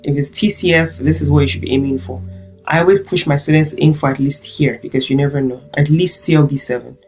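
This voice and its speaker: Woman from Nigeria, teacher, low voice, encouraging explainer, soft music behind. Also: fairly even intonation, fast. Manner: encouraging, checks in with "okay?". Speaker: French teacher and channel host. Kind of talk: explainer lesson to camera.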